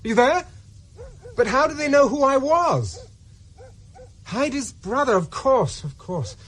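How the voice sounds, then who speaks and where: High-voiced, frantic English fugitive. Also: male voice, lively intonation, slow pace, a bit bright, heavily acted, low-quality recording. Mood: panicked, pleading. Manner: urgent, stammering, emotional. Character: distraught, hunted young Englishman, polite ex-officer. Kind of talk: radio drama acting. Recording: faint noise and ambience.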